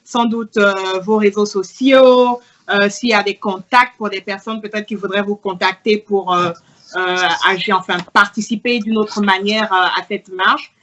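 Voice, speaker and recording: A woman's voice: mid-range voice, fairly even intonation, measured pace, a bit bright. A woman hosting an online interview, asking polite, slightly hesitant questions. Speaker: interviewer host.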